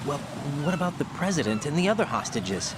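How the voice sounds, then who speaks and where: An actor playing a young special-forces rookie in a video game, earnest, dutiful and full of questions. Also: male voice, a little high, big pitch swings, fairly quick, noisy background. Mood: earnest, concerned. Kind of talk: video game dialogue acting.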